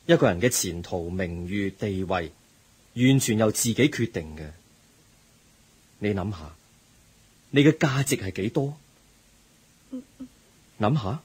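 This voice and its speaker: A man's voice: lowish voice, expressive, measured pace, pauses often, darkish tone, breathy edge. A man with a Hong Kong Cantonese accent playing a teacher in a radio drama, earnestly lecturing a student. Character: patient middle-aged teacher, moralizing and kind.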